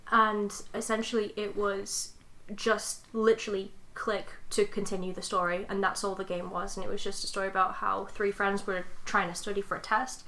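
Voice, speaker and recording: A woman's voice: mid-range voice, pushed and bright, poor audio. A woman co-hosting a podcast, telling stories and chatting informally. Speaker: podcast co-host. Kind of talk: casual chat, informal storytelling.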